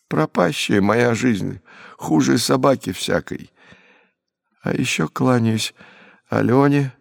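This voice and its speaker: A man's voice: medium pitch, gravelly, no room sound, studio quality, pitched above the performer's own voice. A man voicing a naive orphaned peasant boy in an audiobook, pleading in plaintive, rustic folk speech. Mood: plaintive, miserable.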